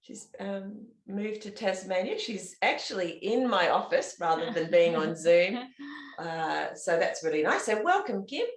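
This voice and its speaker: A woman hosting a podcast interview, enthusiastic and quick to react with amazement. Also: medium pitch, animated. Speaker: podcast host. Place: office. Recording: roomy office with faint music.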